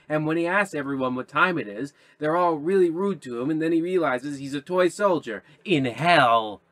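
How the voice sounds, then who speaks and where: Man as a grouchy, higher-pitched radio show host. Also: a bit bright. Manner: theatrical, testy. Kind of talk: old radio show narration parody.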